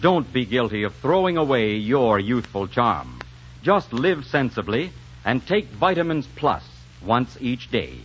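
Animated 1940s male radio announcer. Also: medium pitch, slight background noise. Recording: dull vintage 1940s radio recording, faint music.

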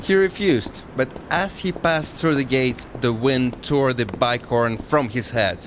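An actor playing a stern, anxious Russian escort in an audio drama, with a Russian accent and a dramatic, exasperated manner. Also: male voice, medium pitch, a little slow, a bit bright, natural voice. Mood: proud, solemn. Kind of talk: audio fiction drama acting. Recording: echoey reverberant hall, background noise.

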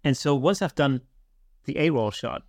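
Male podcast host, mid-pitched, conversational and methodical explainer, bone-dry recording. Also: expressive, moderate pace, a little mellow, no echo.

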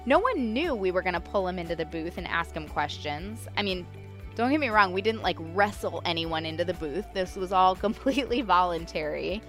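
A woman's voice: medium pitch, a bit bright. A woman hosting a podcast, talking enthusiastically and gushing about her guests. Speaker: podcast host. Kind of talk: upbeat podcast intro and booth interviews.

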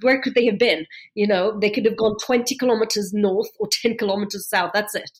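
A woman from London with a British accent, a journalist talking through her experiences as a guest in an interview, animated and often repeating words as she thinks. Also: medium pitch, a little fast. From born in London. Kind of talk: conversational interview.

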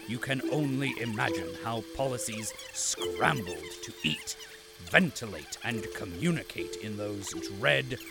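A pompous, disdainful radio-drama narrator. His delivery is slow and theatrical, with a creaky edge and big swings of pitch. Music plays behind him. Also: heavily acted. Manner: grandiose, drawn-out, disdainful. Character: pompous indignant male announcer narrating the tale. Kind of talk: theatrical scripted narration to a listener.

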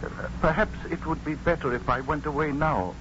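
A man's voice: fairly high pitch, fairly quick, natural voice. A man acting in a 1950s radio drama as a deferential, earnest manservant, speaking politely and formally. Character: deferential, earnest manservant and loyal companion to a doctor. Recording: muffled, noisy 1950s radio recording.

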